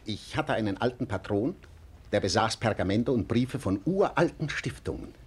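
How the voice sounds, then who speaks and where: An actor playing a sly, pedantic agitator in a theatrical 1940s radio play, lecturing and insistent. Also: male voice, lowish voice, lively intonation, moderate pace, breathy edge. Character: rabble-rousing clerk, sly, pedantic and agitating.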